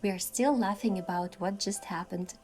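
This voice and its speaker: A woman reading scripted first-person story narration in an expressive way, acting out the lines as a heroine. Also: medium pitch, normal speed, faint music behind. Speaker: narrator voicing a game's heroine.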